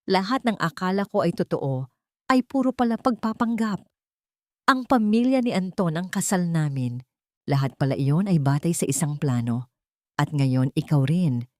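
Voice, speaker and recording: A woman's voice: fairly low pitch, lively intonation, measured pace, darkish tone, no echo, studio quality. A woman with a Filipino accent voicing a hurt, wary woman who guards her heart in an audio story. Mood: hurt, doubting. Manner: anguished, wary, switches between Tagalog and English.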